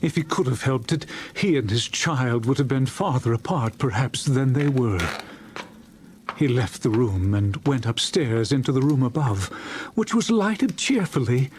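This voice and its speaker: A man narrating a dramatized story in a solemn, expressive manner. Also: mid-range voice, measured pace, slight background noise.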